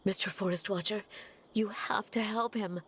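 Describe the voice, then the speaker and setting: A woman's voice: medium pitch, fairly even intonation, moderate pace, background noise, natural voice. A woman voicing an anxious mother pleading for help for her sick son in a game. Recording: game audio over music.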